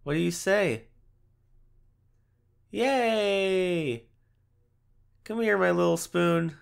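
Young man acting as a playful male fox spirit, unhurried, creaky voice, gentle, affectionate, teasing, boyfriend audio roleplay. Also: high voice, heavily acted. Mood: soothing, tender. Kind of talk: boyfriend ASMR roleplay, addressing the listener.

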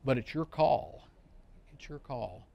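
A man's voice: medium pitch, expressive, takes it slowly, vocal fry, lo-fi sound. A middle-aged man leading a seminar, explaining a product in an informal, plain-spoken way with casual asides. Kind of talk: informal technical product demonstration. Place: studio room, seated at demo table among a small group. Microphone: wireless mic, close.

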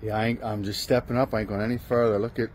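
A man's voice: fairly low pitch, little pitch movement, fast, a little mellow, a little hiss or hum. Rambling man narrating a walkabout. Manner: rambling, self-correcting, plain-spoken. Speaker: urbex vlogger. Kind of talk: casual walking narration. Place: outdoors and in a large abandoned industrial building.

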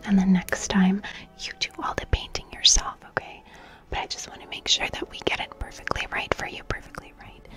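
A young woman whispering softly and breathily in an ASMR personal-attention roleplay as an art teacher, soothing and gentle, close to the microphone. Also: mid-range voice, dull audio. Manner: soft, soothing, gentle, repeating phrases. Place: small bright plant-filled room indoors. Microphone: close studio microphone with fluffy cover.

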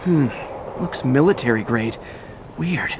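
An actor playing a wisecracking young male superhero in a video game, lively and quick with jokes. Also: mid-range voice, noisy background. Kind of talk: video game cutscene voice acting.

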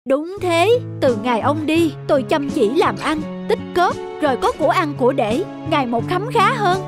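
A sensible young peasant wife in a cartoon folk tale. Her voice is high, her manner earnest and practical with a nagging edge, and music runs beneath her. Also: expressive, normal speed. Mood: calm, earnest. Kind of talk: animated folk tale acting.

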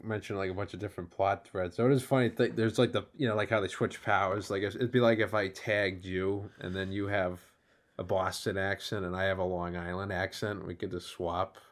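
Low-voiced young man, laid-back chat. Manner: laid-back, filler-heavy, chuckling. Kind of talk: casual chat.

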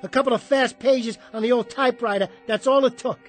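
An actor playing a bitter, washed-up small jockey in a radio drama, husky and combative, talking in streetwise slang. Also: male voice, extremely high pitch, steady tone, fairly quick, faint music behind. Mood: belligerent.

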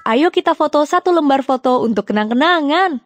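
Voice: high voice, animated, a little fast. An actor voicing a cute, cheerful young panda who guides toddlers with encouraging, simple phrases in an educational cartoon. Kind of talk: children's educational cartoon presenting. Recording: music behind the voice.

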